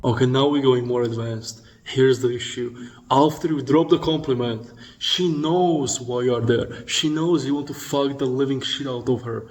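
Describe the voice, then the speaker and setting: A man's voice: medium pitch, fairly even intonation, moderate pace, a little mellow. A man giving blunt, profane dating instruction as a voice-over, lecturing and addressing the listener directly. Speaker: dating coach.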